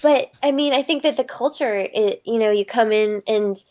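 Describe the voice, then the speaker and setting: A woman's voice: on the high side, moderate pace, a little mellow, dull audio. Thoughtful woman guest who laughs often. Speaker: voice actress guest. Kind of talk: casual interview chat.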